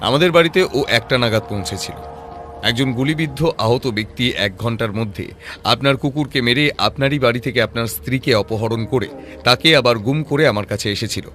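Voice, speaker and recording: A man playing a composed, sharp-witted private detective in an audio drama, reasoning in a measured voice with rhetorical questions. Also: mid-range voice, lightly acted. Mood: firm, challenging. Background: background music.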